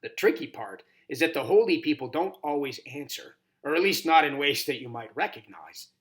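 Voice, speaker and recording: A man's voice: on the high side, expressive, moderate pace, lightly acted. A man voicing a wise horned toad mentor in a children's storybook, grave and instructive with somber warnings. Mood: calm, reassuring. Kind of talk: audiobook character reading.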